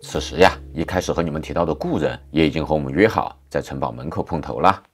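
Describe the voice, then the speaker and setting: A man's voice: rumbling low, fast, slightly rough, faint music behind. A man reading a playful scripted voice-over as the narrator of a food and travel vlog.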